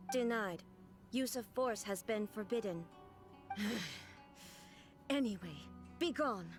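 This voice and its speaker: A woman's voice: medium pitch, animated, takes it slowly. A woman playing an aloof puppet ruler in a video game, formal, detached and rigidly dutiful. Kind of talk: video game character acting. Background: game music.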